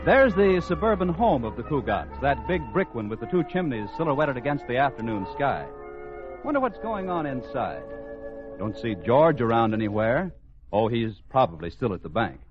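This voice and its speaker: A man's voice: mid-range voice, very animated, moderate pace. A man announcing and narrating in scripted 1940s radio style, polished, theatrical and wry. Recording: dull old radio recording over music. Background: prominent music.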